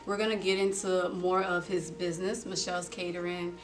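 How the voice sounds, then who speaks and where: A woman's voice: lowish voice, little pitch movement, fairly quick, music in the background. Chatty woman podcast host, distant mic. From South Florida. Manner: friendly, laughs often, chatty with fillers. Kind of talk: casual interview.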